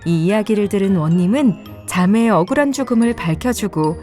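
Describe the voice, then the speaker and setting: A woman reading scripted fairy-tale narration for children in an expressive, measured storytelling way. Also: medium pitch. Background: soft music.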